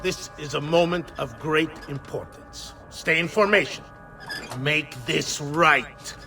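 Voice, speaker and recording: A man's voice: a little high, slow pace, sharp tone, faint music behind, heavily acted. An actor playing a stern male soldier captain in a game cutscene, giving curt orders in a commanding way. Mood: dutiful. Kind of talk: video game cutscene acting.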